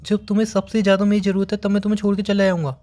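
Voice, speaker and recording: A man's voice: high voice, level tone, racing, dark tone, lightly acted. Man playing an insistent, worried young boyfriend. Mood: insistent, hurt. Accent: Indian. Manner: pleading, questioning, repeats himself insistently. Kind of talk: phone-call relationship drama acting.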